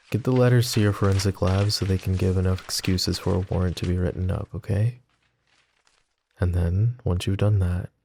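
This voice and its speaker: A man voicing a wry, tender mafia boss in an ASMR roleplay, soft-spoken and teasing. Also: low voice, creaky, a little hiss or hum. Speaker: voice actor.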